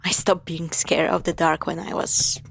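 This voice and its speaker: A woman playing a teasing, affectionate young girlfriend in an intimate scripted audio roleplay, with sarcastic banter and hushed asides. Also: sits low, flat delivery, normal speed, vocal fry, breathy edge, dry recording, full character voice. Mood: playful, teasing.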